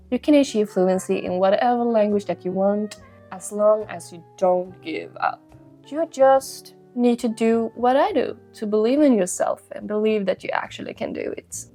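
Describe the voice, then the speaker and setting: A woman's voice: mid-range voice, warm tone. A young woman whose first language is Swedish, giving earnest, encouraging advice to the camera as a language-learning host and repeating her key points. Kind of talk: motivational talk to camera.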